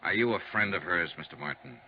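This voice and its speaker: A hard-boiled, insistent American investigator in old radio detective style. His delivery is clipped and wry, with lively swings of pitch. The recording is dull and vintage. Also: fast talker, husky, full character voice. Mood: businesslike. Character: hard-boiled, persistent male insurance investigator. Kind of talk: 1950s radio detective narration and dialogue. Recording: muffled 1950s radio recording.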